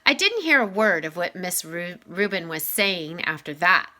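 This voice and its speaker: A woman reading a children's novel aloud in an expressive, emphatic storytelling style. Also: on the low side, moderate pace. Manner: animated, dramatized dialogue with emphatic delivery.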